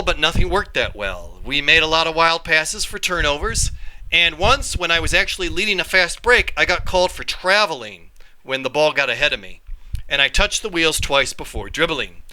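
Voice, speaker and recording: A man reading a children's story aloud in an expressive, animated storytelling style. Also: fairly high pitch, low-quality recording. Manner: animated, acts out dialogue. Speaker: reader, storyteller.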